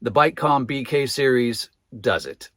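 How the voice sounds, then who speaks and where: A man presenting a product review as scripted narration, with measured, clearly phrased delivery. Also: medium pitch, lively intonation, fairly bright tone, no echo, clean studio sound. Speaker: product reviewer and channel host.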